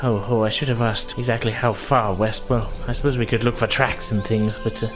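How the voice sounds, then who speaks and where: A man narrating a tabletop game as game master in a halting, hesitant way. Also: fairly low pitch, steady tone. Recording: music playing behind the voice. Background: music.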